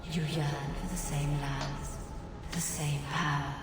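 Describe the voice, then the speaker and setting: Low, slow, echoing demon temptress. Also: female voice, level tone, loud music behind the voice. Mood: mocking, insinuating. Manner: slow, insinuating, taunting. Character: seductive female desire demon, sly silky tempter. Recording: cavernous distant reverb, noisy game capture.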